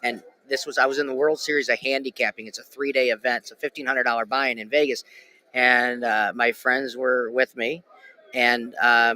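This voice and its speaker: A man's voice: mid-range voice, pushed and bright, poor audio. A man hosting a casual sports betting talk show, rambling with many restarts and fillers. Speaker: podcast host. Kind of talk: casual chat.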